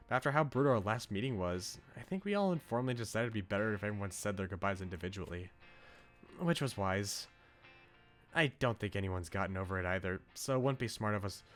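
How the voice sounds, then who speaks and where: A man's voice: on the low side, close to an everyday voice. A man voicing an earnest, devoted young woman from a visual novel, reading her lines with emphasis. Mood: subdued, resigned. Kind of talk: reading visual novel dialogue aloud in character. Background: game music.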